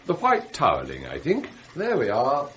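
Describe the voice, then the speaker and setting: An actor playing a scheming, deferential English butler in a radio drama, formal, unctuous and theatrical. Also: male voice, medium pitch, huge pitch swings, moderate pace, fairly bright tone, a little hiss or hum. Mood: fussily obliging. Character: scheming, outwardly deferential butler, secretly anguished and devoted. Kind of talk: radio drama acting, confessional narration and dialogue.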